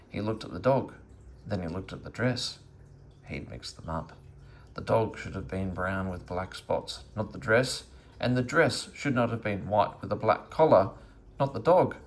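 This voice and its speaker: A man reading a children's story aloud as an expressive narrator. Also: lowish voice, measured pace, a little hiss or hum. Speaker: storybook reader.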